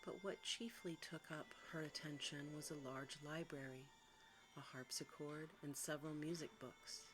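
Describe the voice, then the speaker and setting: A woman storyteller giving a soft, measured ASMR narration of a bedtime story. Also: sits low, steady tone, poor audio. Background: music.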